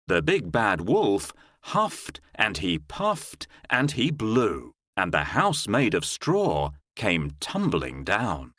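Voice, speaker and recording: Deep, very expressive male storyteller. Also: no echo, studio quality. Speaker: storybook narrator. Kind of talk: scripted fairy tale narration for children.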